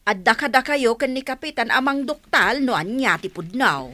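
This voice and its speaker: A woman's voice: on the high side, expressive, moderate pace, bright, forceful tone, dry recording. A woman with an Ilocano accent acting a gossipy, insinuating village neighbour in a comedy radio drama. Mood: gossiping.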